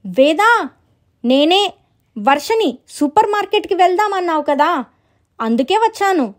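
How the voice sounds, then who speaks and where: Woman acting a high, friendly young neighbor. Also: sing-song, a little slow, exaggerated voice. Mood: polite.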